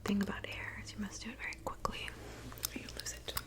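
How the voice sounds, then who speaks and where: A woman playing a kind, fussy witch in a whispered ASMR roleplay, speaking softly and breathily in a gentle, reassuring, unhurried manner. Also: natural voice. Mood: gentle, busy. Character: kind, fussy woodland witch mixing love potions.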